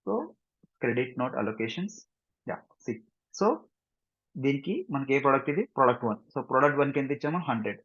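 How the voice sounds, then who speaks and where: A man with a Telugu Indian accent giving an informal screen-share software tutorial, mixing in English technical terms and often checking understanding with short tag questions. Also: medium pitch. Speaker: software instructor. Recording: dull, muffled lo-fi sound.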